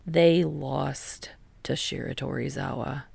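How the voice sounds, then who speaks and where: A woman voicing a dry, aloof, sardonic teenage boy in an audiobook, with an unbothered deadpan delivery. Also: very deep voice, slow pace, gravelly, breathy edge, no echo, voice pushed down, full character voice. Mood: dismissive. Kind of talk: audiobook character voice.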